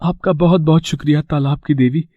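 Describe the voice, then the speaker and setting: A man voicing an honest, humble young village brother in a storybook tale, earnest and gentle, on a dull recording. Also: fairly high pitch, steady tone, brisk, no room sound, natural voice. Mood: grateful. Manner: earnest, gentle, simple-hearted.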